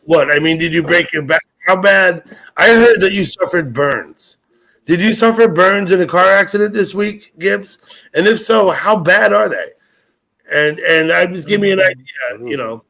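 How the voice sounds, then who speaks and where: A man's voice: high-pitched, normal speed, gravelly, bright, forceful tone, poor audio. A man hosting a casual chat, asking blunt, probing questions.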